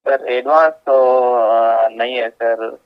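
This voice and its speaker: A man's voice: medium pitch, fairly even intonation, slow pace. A man speaking deferentially and hesitantly as a caller on a phone conversation. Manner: deferential, hesitant, often says sir. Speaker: caller, job aspirant. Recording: dull lo-fi phone call recording.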